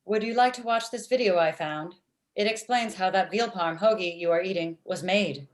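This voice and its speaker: A woman's voice: fairly low pitch, normal speed, natural voice. Woman acting a blunt, stilted robot matchmaker. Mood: defensive. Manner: stilted, uncontracted, machine-like phrasing. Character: blunt, lovestruck robot matchmaker with literal speech. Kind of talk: table read of comedy script. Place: indoors on a video call.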